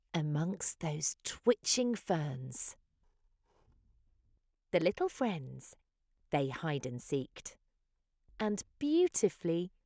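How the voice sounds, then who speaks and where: A woman's voice: sits low, a little mellow, no room sound, clean studio sound. A woman reading a children's picture book aloud in a dramatic, sing-song rhyming delivery. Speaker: children's story reader.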